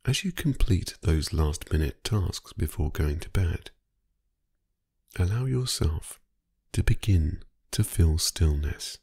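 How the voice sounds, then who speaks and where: A man narrating a guided sleep meditation in a calm, soothing, hypnotic manner with long pauses. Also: low voice, husky, no echo, studio quality.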